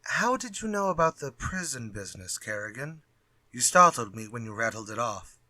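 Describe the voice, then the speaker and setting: An actor playing a glib, unafraid writer in a retro radio drama, with flippant, old-fashioned phrasing. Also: male voice, medium pitch, very animated, normal speed, bright, forceful tone, no echo, heavily acted. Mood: curious, eager. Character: glib, unafraid male writer sparring with his villain.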